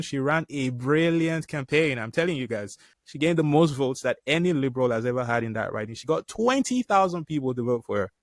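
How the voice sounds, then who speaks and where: An enthusiastic male podcast host, originally from Africa, introduces his guest and asks questions, often repeating words as he starts. His voice is mid-pitched and dry, recorded close with no room echo. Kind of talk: casual podcast hosting.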